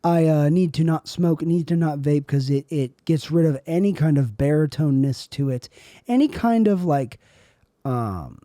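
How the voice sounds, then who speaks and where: A young man with a raspy, airy voice, rambling through a solo podcast monologue as host. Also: fairly high pitch, lively intonation, normal speed, dark tone, no echo, studio quality. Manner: rambling, self-questioning, trailing off.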